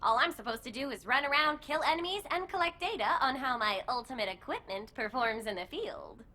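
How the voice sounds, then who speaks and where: A woman voicing an excitable, mischievous young soldier in a video game, brash, flippant and theatrical. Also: high voice, a little fast. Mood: breezy. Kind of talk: animated video game voice acting.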